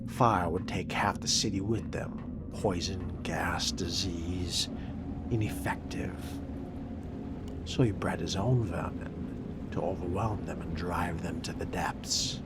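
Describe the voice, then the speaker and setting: A man role-playing a haughty, sardonic vampire in a video game, with breathy, theatrical, old-fashioned diction and cool menace. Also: sits low, fairly even intonation, slow, very noisy. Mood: brooding, musing. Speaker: gamer role-playing a character. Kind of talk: in-character video game role-play monologue. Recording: loud music over the voice. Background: loud game music.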